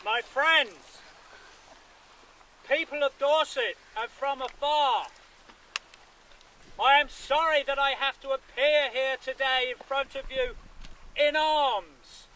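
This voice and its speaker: Man acting as high-pitched, slow, defiant country lawyer. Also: pushed and bright, heavily acted, rough recording. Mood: earnest, grave. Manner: declamatory, deliberate, with emphatic pauses. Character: defiant 17th-century country lawyer rallying his armed neighbours. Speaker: historical reenactor. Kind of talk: reenacted historical public speech. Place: outdoors at a rural gathering. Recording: outdoor recording with background noise.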